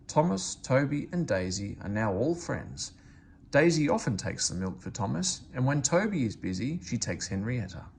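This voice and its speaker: A man reading a children's story aloud in expressive narration. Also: mid-range voice, vocal fry, slight background noise. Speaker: storybook reader.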